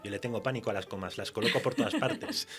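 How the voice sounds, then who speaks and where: A man with an accent from Spain chatting casually as a guest writer in a radio interview, telling stories in an animated, self-mocking way. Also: fairly low pitch, quick pace, soft background music. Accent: Spanish from Spain.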